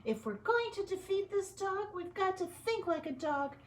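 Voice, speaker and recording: A woman doing a prim, high mouse professor. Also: animated, moderate pace. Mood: grave. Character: fussy female mouse scientist, grave and proper. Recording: echoey room, faint room noise.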